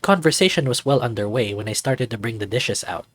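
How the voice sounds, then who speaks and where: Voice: mid-range voice, expressive, brisk, fairly bright tone, no room sound, faint music behind. A narrator reading a scripted first-person visual novel story.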